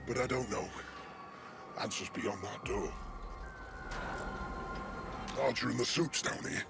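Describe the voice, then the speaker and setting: A man's voice: mid-range voice, vocal fry, lightly acted. A man playing a wounded, weary, foul-mouthed technician in a video game, talking in a rambling, slangy grumble. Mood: bitter, grumbling, then explanatory. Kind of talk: video game character dialogue.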